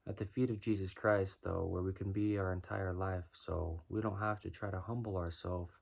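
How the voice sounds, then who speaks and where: A man with a deep voice doing a rhyming spoken-word freestyle, musing and preaching as he goes. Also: fairly even intonation, normal speed, vocal fry. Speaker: freestyle musician.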